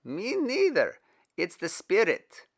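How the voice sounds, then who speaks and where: A man voicing a young male believer who talks in an excited, emphatic way, in a storybook reading. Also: high voice, slow pace, pitched above the performer's own voice, exaggerated voice.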